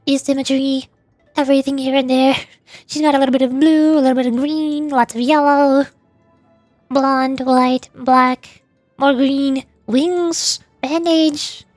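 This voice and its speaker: A woman with a high voice gives casual game-stream commentary, chatty and thinking aloud. The sound is close and dry, with faint music underneath. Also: no room sound.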